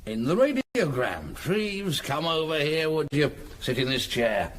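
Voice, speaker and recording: An actor with a British accent playing a shrewd, patient senior police detective in a radio drama, with a measured, probing and authoritative manner. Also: male voice, on the high side, sing-song, a little hiss or hum. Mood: deducing, assured.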